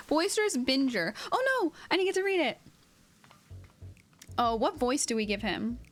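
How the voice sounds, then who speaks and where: A woman's voice: high voice, sing-song, takes it slowly, long pauses, fairly bright tone. A woman streaming a game with casual, playful, reactive commentary and some swearing. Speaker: streamer voicing game dialogue as an amateur. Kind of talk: casual gaming livestream commentary.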